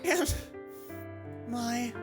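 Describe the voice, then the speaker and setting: A woman's voice: a little high, very slow, music in the background, voice pushed up. A woman voicing an anxious, guilt-ridden teenage girl in a visual novel, pleading and stammering. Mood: distraught, pleading.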